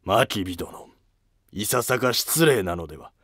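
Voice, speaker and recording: Male court noble, acted by a man: lowish pitch, archaic formal manner, guarded, historical drama, studio-dry sound. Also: lively intonation, unhurried, fairly bright tone, breathy edge, no echo, exaggerated voice. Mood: offended.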